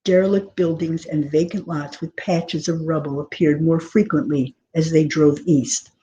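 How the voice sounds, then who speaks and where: A woman author giving an expressive literary reading of scripted narration from a novel. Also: sits low, moderate pace, muffled recording. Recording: clear background noise.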